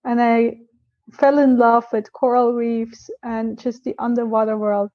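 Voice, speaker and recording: A woman's voice: fairly high pitch, no room sound, dull audio. A woman who grew up in Switzerland, a scientist guest telling her story earnestly in an interview, with small restarts. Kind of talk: casual interview answers.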